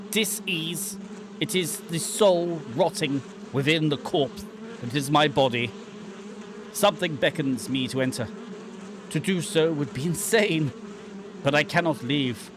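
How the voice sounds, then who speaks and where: A man voicing a haunted, guilt-ridden man lost in dark woods, reading horror game narration in a theatrical, halting way. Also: on the high side, background noise, close to an everyday voice. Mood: grim despair. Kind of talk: dramatic first-person reading of horror visual novel text.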